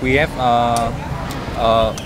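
Young man student, loud street noise. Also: medium pitch, flat delivery, takes it slowly. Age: young adult. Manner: hesitant, filler-heavy. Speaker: university student, interviewee. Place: outdoors on a campus street.

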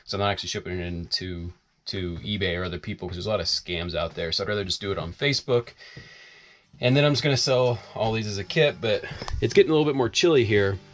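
A man's voice: lowish voice, quick pace, slight background noise. A man in his thirties, chatting casually on camera inside his converted school bus about his projects. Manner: relaxed, chatty explaining. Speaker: vlogger, bus owner. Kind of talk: casual vlog narration.

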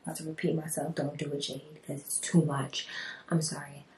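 A woman's voice: low voice, flat delivery, moderate pace, a little mellow, far from the mic. A young woman vlogging casually to a handheld camera late at night in a small bathroom, chatty and rambling with slang. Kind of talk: casual vlog talk to camera. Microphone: handheld camera close to the face. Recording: echoey bathroom, faint hiss.

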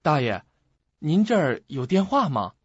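A man's voice: a little high, sing-song, unhurried, husky, no echo, heavily acted. An actor playing a puzzled, anxious young man in a radio drama, speaking hesitantly and questioning himself. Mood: agitated, questioning. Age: young adult. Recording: muffled lo-fi audio, music behind.

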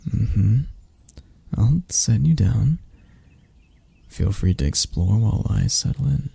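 A man voicing a possessive, teasing spider-creature lover in an intimate ASMR roleplay, with a husky, breathy, gravelly murmur. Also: lowish voice, expressive, no echo, full character voice. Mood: gentle, predatory. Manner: slow, teasing murmur with soft chuckles and near-whispers.

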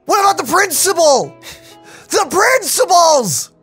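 A woman giving casual, playful let's-play commentary in an animated manner. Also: very high-pitched, measured pace. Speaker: gaming channel host. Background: faint game music.

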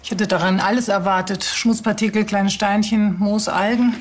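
A woman's voice: mid-range voice, little pitch movement, normal speed, a little hiss or hum, lightly acted. A woman playing a dry, precise forensic pathologist in a TV crime comedy. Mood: matter-of-fact. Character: matter-of-fact, dry female forensic pathologist. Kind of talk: scripted TV comedy crime drama acting.

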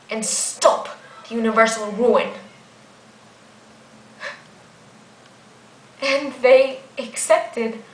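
A woman performing a theatrical monologue as an ancient guardian angel, grave, weary and resolute, speaking deliberately with dramatic pauses. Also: fairly high pitch, a bit bright. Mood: impassioned. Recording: echoey room.

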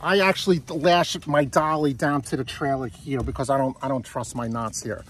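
A man's voice: medium pitch, fairly even intonation, moderate pace, slight background noise. Upbeat man filming outdoors on a phone. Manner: enthusiastic, asks leading questions. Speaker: sailing vlogger interviewing a guest. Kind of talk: casual outdoor interview. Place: outdoors on a grassy park by a lake. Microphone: handheld phone at arm's length.

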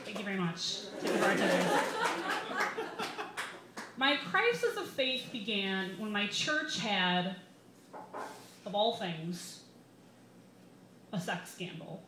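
A woman in her twenties with an American accent, reading a comedic personal essay live with wry, deliberate timing at a bar microphone. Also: mid-range voice, expressive. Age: 20s. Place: small bar reading series, indoors. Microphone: microphone on a stand, held close. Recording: roomy live bar, some background noise.

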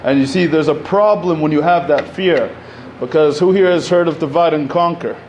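A man in his thirties from Egypt speaks into a podium mic in a community center hall. His voice sits a little high, and he talks loosely and informally, stacking "you know" and restarts. A faint noise runs under the recording. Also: normal speed, darkish tone. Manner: conversational, many fillers, gestures while speaking. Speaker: panel speaker. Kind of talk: public talk at a community event.

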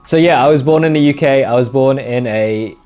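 A young man from England in his twenties, talking straight to camera from his living-room sofa. He rambles through viewer questions in a relaxed, filler-heavy way, tossing in dry little jokes, with faint music playing underneath. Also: medium pitch, brisk. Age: 20s. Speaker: YouTuber answering viewer questions. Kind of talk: casual Q&A monologue. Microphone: mic off-camera, seated facing camera.

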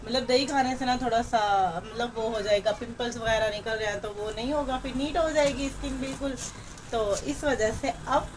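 A woman's voice: a little high, steady tone, moderate pace, background noise. A woman in her thirties chatting to the camera in a home vlog, filmed on a phone at arm's length in a small room. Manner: chatty, talks straight to camera. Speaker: vlogger. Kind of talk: casual family vlog chat. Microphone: phone held at arm's length.

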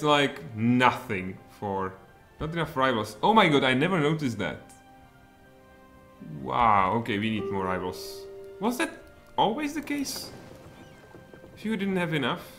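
A man in his thirties with a Czech accent, streaming a strategy game and thinking aloud, with sudden excited outbursts. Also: mid-range voice, a little slow. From Czech Republic. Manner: mutters thoughts aloud, then bursts out excitedly. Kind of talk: live strategy game commentary. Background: faint music and keyboard typing.

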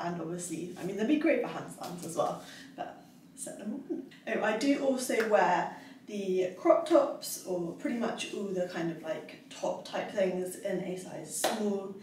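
A woman's voice: mid-range voice, darkish tone, recorded from a distance, slight background noise. A young woman in her twenties chatting casually in a try-on haul, bubbly and giggly with lots of fillers. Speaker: fitness vlogger. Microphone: camera across the room. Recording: echoey room, voice from afar.

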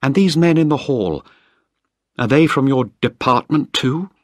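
A man voicing a distressed young woman in an audiobook, high and pleading, frightened yet defiant. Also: wildly swooping pitch, unhurried, breathy edge, no room sound, clean studio sound, full character voice. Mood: angry. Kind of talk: audiobook character voice.

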